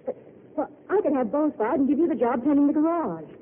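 A wistful young diner waitress, full of longing and plans, in a 1940s radio play. Her voice sits high and light. The sound is thin and tinny, like an old broadcast. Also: animated, a little fast, a little hiss or hum. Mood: dreamy. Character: young woman, lovestruck diner waitress, dreamy.

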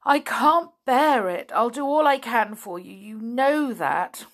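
A woman voicing a kind, gentle young male rabbit who talks softly and earnestly. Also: a little high, lively intonation, takes it slowly, full character voice. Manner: giggly, soft and earnest.

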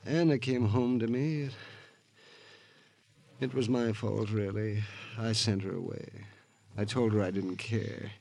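Husky, distraught American husband. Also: male voice, lowish voice, steady tone, measured pace, pauses often, darkish tone. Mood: grieving, guilty. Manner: agitated, repeats himself, emotional. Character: distraught, guilt-ridden, bitter husband of a murdered woman. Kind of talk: 1950s American radio drama acting.

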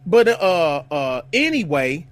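High-pitched rambling man in his fifties. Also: sing-song, takes it slowly. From Detroit, USA. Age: 50s. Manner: rambling, searching for words, many restarts. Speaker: podcast co-host. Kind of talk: casual group banter.

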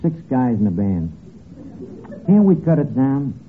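An actor playing a scheming, penny-pinching tavern manager with a New York accent and rough, slangy diction, in a 1940s radio comedy. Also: male voice, big pitch swings, a little slow, phone-quality sound. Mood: cajoling. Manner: wheedling, malapropism-prone, hesitating before each pitch. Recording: thin, noisy old radio broadcast recording.

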